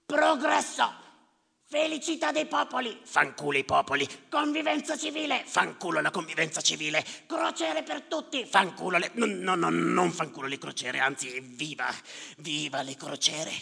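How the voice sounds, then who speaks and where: An actor playing a bitter, sardonic general who tallies the dead, declaiming in a rasping theatrical monologue with repeated phrases. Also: male voice, high-pitched, animated, moderate pace, pushed and bright, full character voice. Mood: mocking. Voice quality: gravelly, rasping. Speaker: stage actor performing a monologue. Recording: reverberant theatre hall.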